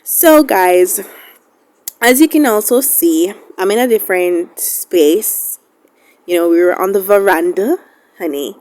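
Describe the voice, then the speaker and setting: A woman's voice: mid-range voice, a little slow, darkish tone. Female beauty vlogger, mid-range voice, informal and chatty, affectionate direct address to viewers. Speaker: beauty YouTuber, host. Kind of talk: casual chatty vlog talk to viewers.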